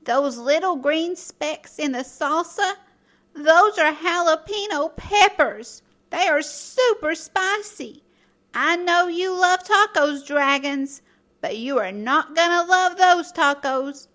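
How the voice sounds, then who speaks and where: A woman narrates a children's picture book in a high, bright voice. She reads slowly and playfully, with expressive storytelling that speaks straight to the young listener and the characters. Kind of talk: animated read-aloud storytelling.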